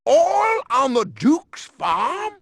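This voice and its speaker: Blustery older male Southern boss, game villain, slow and conniving, huge pitch swings. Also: wildly swooping pitch, fairly bright tone, dry recording, clean studio sound, heavily acted. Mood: bossy, scheming. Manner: blustery, wheedling. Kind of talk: exaggerated comic game acting.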